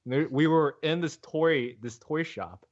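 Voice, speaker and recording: Casting: young male co-host, higher voice, animated teasing storyteller, dull online chat audio. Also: measured pace. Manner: excitable, repeats himself while storytelling. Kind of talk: casual anecdote and teasing banter.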